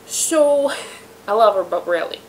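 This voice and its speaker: A woman hosting her home web show in a rambling, self-deprecating monologue, her voice raspy from being sick. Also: mid-range voice, lively intonation, measured pace, darkish tone, slight background noise, low-quality recording. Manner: chatty, self-deprecating, many fillers and trailing asides. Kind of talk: rambling casual monologue to viewers. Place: room in a house.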